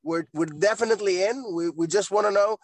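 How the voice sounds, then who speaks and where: A man from Egypt joining a video call from his car while driving, asking his question eagerly and a little rushed. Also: high-pitched, no echo. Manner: eager and excited, a bit rushed.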